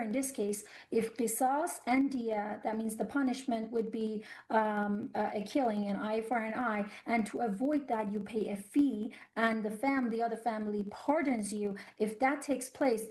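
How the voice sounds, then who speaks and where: A woman from Iran, a guest in a news interview, speaking earnestly and haltingly with many fillers and restarts. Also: a little high, darkish tone, rough recording. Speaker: guest commentator on human rights.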